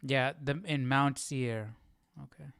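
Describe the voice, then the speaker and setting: A man's voice: medium pitch, steady tone, takes it slowly, vocal fry, a bit bright, clear, resonant tone, dry recording. A man hosting an informal Bible study livestream, chatting casually and thinking aloud as he goes.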